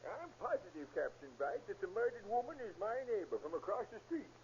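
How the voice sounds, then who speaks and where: A man's voice: sits high, sing-song, a little fast, a little mellow. A man playing an earnest, talkative neighbor giving police information in a 1930s radio drama. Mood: earnest, eager. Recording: dull, hissy 1930s radio recording.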